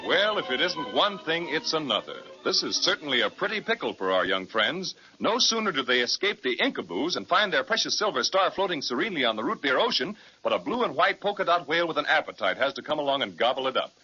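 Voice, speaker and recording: Folksy radio storyteller, fast and lively, music behind, muffled old recording. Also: male voice, on the high side, breathy edge, exaggerated voice. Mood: amused. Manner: folksy, theatrical. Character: cheery, avuncular storybook narrator. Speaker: announcer and narrator. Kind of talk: 1930s radio scripted narration.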